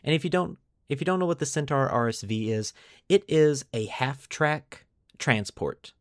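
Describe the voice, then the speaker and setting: A man's voice: mid-range voice, normal speed, no room sound, clean studio sound. A man hosting a podcast, mixing scripted lore narration with casual commentary and putting dramatic emphasis on key words. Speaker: podcast host.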